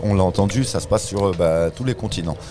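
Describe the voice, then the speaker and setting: A man's voice: low-pitched, fast talker, noisy background. A man interviewing casually, restating his guests' answers in a tentative, conversational way. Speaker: interviewer, host.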